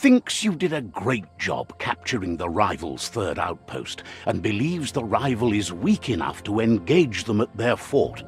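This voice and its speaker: A man with a low voice tells the game's story like a storybook, his pitch rising and falling all over. He sounds wry as he guides the player. Music plays under him. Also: sing-song, unhurried, few pauses. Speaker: game narrator. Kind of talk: scripted storybook-style game narration. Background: game music.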